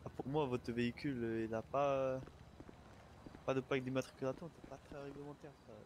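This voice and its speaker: A man improvises as a police officer in game role-play, his voice sitting at a middle pitch. He speaks in polite procedural phrases but fumbles and hesitates, with light noise on the voice chat. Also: fairly even intonation, brisk, darkish tone. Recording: noisy gaming voice chat.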